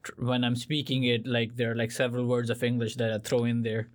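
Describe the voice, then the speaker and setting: A man who grew up speaking Urdu chats as an interviewer in a mid-pitched voice. His questions are informal and loosely built, with filler words such as "like" along the way. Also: flat delivery, rapid-fire. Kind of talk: casual conversational interview.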